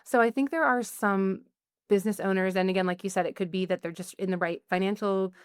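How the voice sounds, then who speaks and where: A woman's voice: mid-range voice, steady tone, fast, clean tone, no room sound, studio quality. A woman guest on a podcast, talking casually and chattily with many "like"s and "you know"s. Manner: chatty, lots of likes and you-knows. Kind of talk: casual interview chat.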